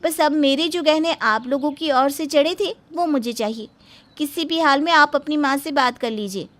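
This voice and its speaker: Woman acting high-pitched scheming Indian family woman. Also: moderate pace, a bit bright. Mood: demanding. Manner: dramatic, whining, then threatening. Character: scheming, shrill mother of the bride and greedy threatening daughter. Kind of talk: animated moral-story dubbing.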